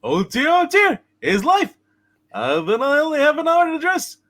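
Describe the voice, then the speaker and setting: Cartoon apprentice angel, very high and wildly swooping, eager, fretful, stammering deference, comic radio play. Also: male voice, wildly swooping pitch, normal speed, fairly bright tone, heavily acted.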